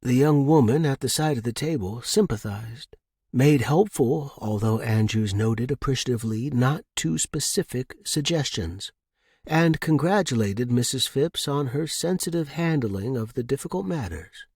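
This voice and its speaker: A man narrating scripted suspense fiction in a measured, dramatic manner, as an audiobook reader. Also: medium pitch, lively intonation, a little mellow, slightly rough, no room sound, studio quality.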